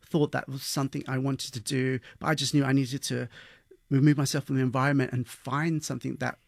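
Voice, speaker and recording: A man's voice: medium pitch, level tone, fairly quick, vocal fry. A British man from London speaks as an interview guest. He tells personal stories in a conversational way, in a mid-range voice. The recording is dry, with no room echo. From London, England.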